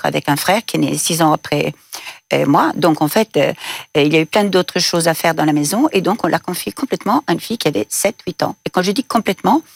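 A woman's voice: fairly low pitch, vocal fry, no room sound, clean studio sound. A middle-aged Italian woman with an Italian accent, giving a reflective personal account as an interview guest. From Italy. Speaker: interview guest, business leader.

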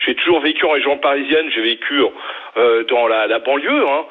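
A man in his fifties from Brittany calling in to a radio show, talking haltingly and restarting his words. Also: mid-range voice. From Brittany, western France. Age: 50s. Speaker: radio caller. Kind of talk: phone-in call. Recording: tinny phone line.

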